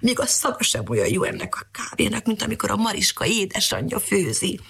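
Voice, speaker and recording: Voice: medium pitch, big pitch swings, vocal fry, a bit bright, husky, pitched above the performer's own voice, full character voice. A storyteller voicing a grumbling, scolding old male dog.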